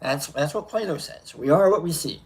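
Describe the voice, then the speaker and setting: A livestream host chatting casually in a rambling, filler-heavy way, giving affectionate shout-outs to viewers. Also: mid-range voice, expressive, moderate pace, slightly rough.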